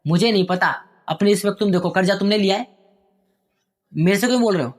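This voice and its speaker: A man voicing an angry, entitled young son who shouts at his parents in outbursts with a raised voice. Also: high voice, lightly acted. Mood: defiant. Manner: indignant, raised voice, rapid outbursts.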